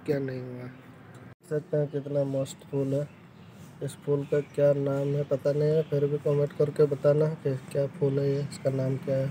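A man's voice: a little high, warm tone, slight background noise. A young man vlogging on a phone held at arm's length, walking through an outdoor garden and vegetable plot and chatting eagerly to viewers. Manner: chatty, enthusiastic, addresses viewers directly. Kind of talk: casual vlog walkthrough.

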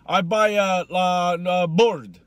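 A man voicing an older immigrant grandfather on a comedic prank call, confused and crude, in halting, repetitive broken English. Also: high-pitched, clean tone, dry recording. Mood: polite, confused. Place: inside a parked car.

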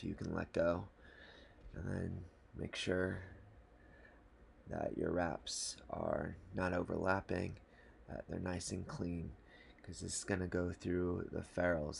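A man calmly explaining a fishing knot step by step at a desk indoors. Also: sits low, gravelly, a little hiss or hum. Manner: calm, matter-of-fact, unhurried. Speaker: fly fishing tutorial host. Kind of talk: step-by-step instructional talk. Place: small indoor room at a desk.

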